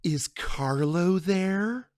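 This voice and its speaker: A storyteller voicing a young mistress who speaks slowly and tauntingly on the phone. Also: mid-range voice, animated, a little mellow, no echo, clean studio sound, pitched above the performer's own voice. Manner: slow, taunting.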